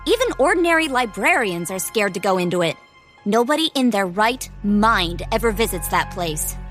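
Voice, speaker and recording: A woman's voice: medium pitch, animated, moderate pace, a bit bright, soft background music, natural voice. A young woman acting a proud, curt teenage squire in an audio drama, brusque and defensive. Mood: exasperated, wary.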